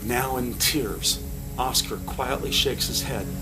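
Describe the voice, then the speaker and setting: A man's voice: medium pitch, slow pace, over music. A man narrating a scripted audio drama with dramatic, present-tense storytelling.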